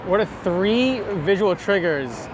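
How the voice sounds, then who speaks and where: A man's voice: high voice, sing-song, slow, over music. A man hosting a casual street interview outdoors, asking questions in an informal, bantering way. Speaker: interviewer, show host. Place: outdoors on a busy city street. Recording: noisy outdoor city street with traffic.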